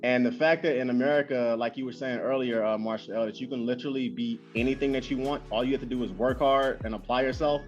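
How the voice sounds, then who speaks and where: A man hosting a casual interview, rattling along at a brisk pace with plenty of "uh" and repeated phrases. His voice sits at an ordinary pitch for a man. The sound is dull and lo-fi, with faint music underneath. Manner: fast, animated, lots of fillers and repeats. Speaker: host.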